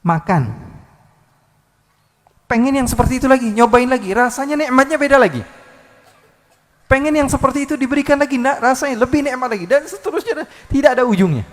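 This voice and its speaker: A male preacher giving a live Islamic sermon, lively, swinging between loud calls and soft asides with many rhetorical questions. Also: extremely high pitch, brisk, pauses often, long reverb tail. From Indonesia. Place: large hall at a live religious gathering.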